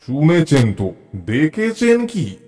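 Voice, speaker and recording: A skeptical older-style gentleman in an audio story, slow deliberate talk, mocking and opinionated. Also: on the high side, huge pitch swings, heavily acted. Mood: scornful, challenging. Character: skeptical, scornful Bengali gentleman, contemptuous of holy men.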